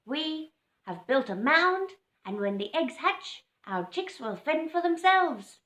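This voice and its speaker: A bustling, warbling mother bird, done by a woman reading a storybook, emphatic and high-pitched. Her voice swoops widely in an echoey room. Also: sing-song, takes it slowly, fairly bright tone, full character voice. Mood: brisk. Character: bustling female scrub fowl, warbling.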